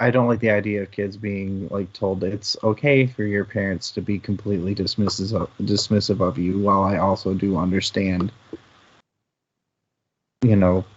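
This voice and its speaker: A man's voice: sits low, husky. A man co-hosting a casual book discussion, talking in a hesitant, rambling way that often doubles back on itself. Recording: muffled, band-limited online audio.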